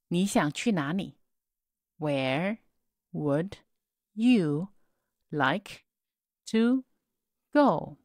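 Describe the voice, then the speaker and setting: A woman teaching set phrases in a slow drill, saying each word on its own and then the whole sentence. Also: mid-range voice, a little mellow, dry recording. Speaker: English teacher. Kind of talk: slow repeat-after-me drill reading.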